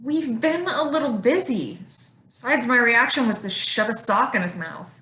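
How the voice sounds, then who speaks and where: Actress as blunt female kidnapper, stage drama. Highish, sharp voice, echoey room. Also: expressive, normal speed. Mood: blunt, defensive. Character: brash, blunt mother joining a kidnapping crew. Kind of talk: staged play reading.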